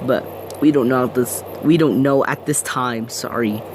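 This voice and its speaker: A man playing a local TV news anchor, giving a halting, unsure breaking news report. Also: medium pitch, background noise, lightly acted. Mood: hesitant, earnest.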